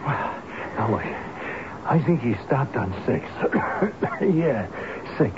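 A man's voice: mid-range voice, very animated, slow. An actor playing a practical, reluctant male workman, plain-spoken and a little hesitant, in a radio drama. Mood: scheming, winded. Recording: noisy, dull old radio broadcast.

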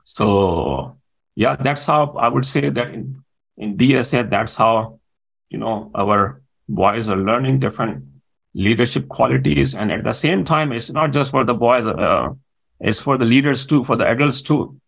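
A man in his forties with a gravelly, creaky voice. His is Urdu, and he answers interview questions at a middling pitch, pausing often on "uh". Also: fairly bright tone, low-quality recording. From Pakistan. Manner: hesitant, with frequent "uh" fillers. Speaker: guest.

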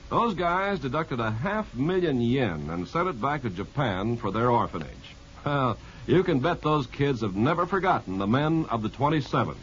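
A man's voice: medium pitch, fairly bright tone. A man reading scripted narration as a 1950s radio announcer. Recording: muffled 1950s radio, faint noise.

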